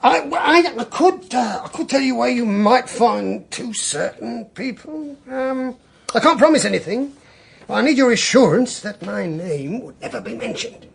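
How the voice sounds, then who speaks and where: An actor playing an oily, cagey private investigator, hesitant and ingratiating, in a radio drama. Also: male voice, very high voice, expressive, unhurried, creaky, a little hiss or hum, heavily acted. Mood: nervous, furtive. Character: shifty, obsequious private investigator, cagey and greedy.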